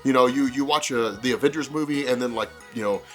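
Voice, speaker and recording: A man hosts a casual fan commentary video in a mid-pitched voice that sounds stuffed up with a cold. He talks quickly and conversationally, looping back over phrases as he rambles. Music plays behind him. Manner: rambling, conversational, repeats phrases and says like often. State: congested from a cold. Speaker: comics explainer video host.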